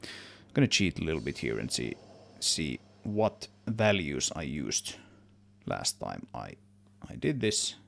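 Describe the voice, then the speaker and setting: A man giving a casual software tutorial, thinking aloud, correcting himself and trailing off as he works. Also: a bass voice, big pitch swings, unhurried, vocal fry. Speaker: tutorial presenter, game audio developer.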